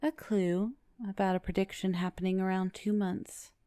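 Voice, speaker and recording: A woman playing a calm, cryptic visitor bearing clues in an audio drama. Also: medium pitch, moderate pace, clean tone, natural voice.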